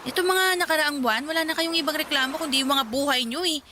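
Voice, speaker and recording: An actress playing a playful, scheming, upbeat young friend in a radio drama, with a teasing, breezy manner. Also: female voice, sits high, quick pace, sharp tone, a little hiss or hum. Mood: teasing, confident.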